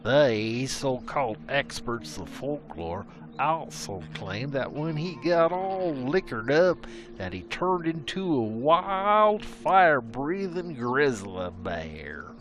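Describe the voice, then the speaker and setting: A man's voice: medium pitch, very animated, slow pace. A man narrating a frontier legend as a scripted story, with rustic, old-timey storyteller phrasing.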